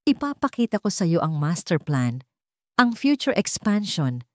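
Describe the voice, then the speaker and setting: A woman's voice: fairly low pitch, big pitch swings, unhurried, a little mellow, no echo, studio quality. A woman voicing a proud, remorseful old tycoon father in a story narration, hopeful and determined.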